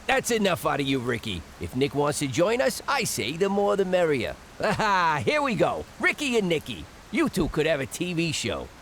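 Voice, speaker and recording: An actor playing a swaggering, taunting crime boss in a video game, theatrical and vicious. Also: male voice, a little high, huge pitch swings, normal speed, hardly any pauses, a bit bright, a little hiss or hum, full character voice. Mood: mocking. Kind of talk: video game villain acting.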